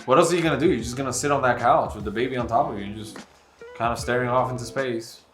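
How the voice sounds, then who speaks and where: A man's voice: mid-range voice, fast talker, a bit bright. A man co-hosting a book vlog in casual conversation, with emphatic, wryly teasing delivery. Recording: roomy echo, faint music behind.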